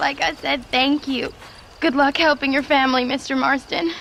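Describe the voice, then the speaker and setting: A frightened, thankful teenage girl of the frontier for a game. Her voice is extremely high. Clear noise sits behind it. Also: fairly even intonation, normal speed, a bit bright. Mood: grateful. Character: frightened, grateful fifteen-year-old frontier girl. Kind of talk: dramatic video game acting.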